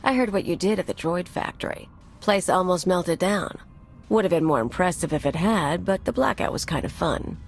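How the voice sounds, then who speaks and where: A woman's voice: on the low side, lively intonation, moderate pace, soft background music. An actress playing a brash, sarcastic female anarchist bomber in a video game, with a blunt, irreverent manner. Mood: mocking.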